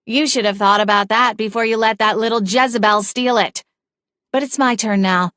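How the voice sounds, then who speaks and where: A woman's voice: fairly high pitch, fairly even intonation, normal speed, a bit bright, dry recording, lightly acted. A woman voicing a bitter, scheming middle-aged wife who speaks sharply and with scorn, in a dry recording. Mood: scornful.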